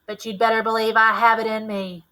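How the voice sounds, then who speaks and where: A commanding colonel from a storybook, as a woman reader plays him. He is steady, protective and military in manner, with a forceful delivery in a fairly high register. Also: a little fast, poor audio.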